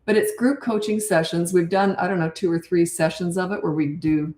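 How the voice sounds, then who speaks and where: An older woman, a parenting coach, talking blunt and chatty with a raspy voice on a live-stream Q&A. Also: on the low side, fairly even intonation, fairly quick, a little mellow, reverberant.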